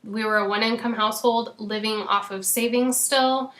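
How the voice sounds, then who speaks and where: Chatty woman with a hoarse voice. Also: a little high, fairly even intonation, moderate pace, fairly bright tone. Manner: chatty, frequent "um" and "you know". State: losing her voice. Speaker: fitness coach. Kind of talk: casual talk to a team. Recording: echoey room.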